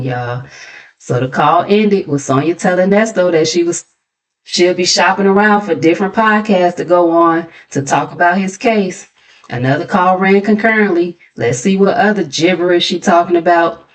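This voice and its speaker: Sassy American woman, lowish voice. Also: moderate pace, rough recording. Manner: sassy, conversational, with mocking asides. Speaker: host of a gossip commentary channel. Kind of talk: casual gossip recap and commentary.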